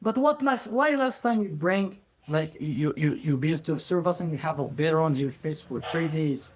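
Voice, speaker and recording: A man's voice: on the high side, lively intonation, moderate pace. A man with non-native English voicing an imperious, scheming king who commands and questions in a folk tale. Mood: questioning. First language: non-native English speaker.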